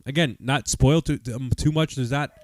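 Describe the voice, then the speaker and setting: A man's voice: medium pitch, moderate pace, no room sound. A man co-hosting a podcast, steering the casual discussion in an informal, conversational way. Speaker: podcast co-host.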